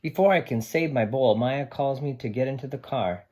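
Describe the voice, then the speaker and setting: A man voicing a friendly, curious dog who narrates a children's storybook in an animated, eager way. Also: medium pitch, normal speed, lightly acted. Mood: worried. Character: friendly dog narrator helping his family recycle.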